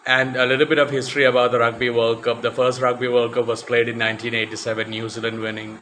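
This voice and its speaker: A man's voice: medium pitch, level tone, fast talker, fairly bright tone, slight background noise. A man giving a casual talk to camera as a vlogger, hesitant, with many fillers and restarts. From West Africa.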